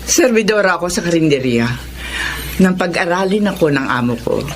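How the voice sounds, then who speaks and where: A woman's voice: lowish voice, lively intonation, moderate pace, a little hiss or hum, lightly acted. A woman acting a frank, probing middle-aged mother in a Filipino radio drama, with a Filipino accent. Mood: reflective.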